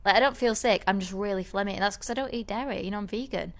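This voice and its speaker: A woman's voice: medium pitch, rapid-fire. A woman co-hosting a podcast, telling a rambling story in casual chat, with many restarts. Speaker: podcast co-host.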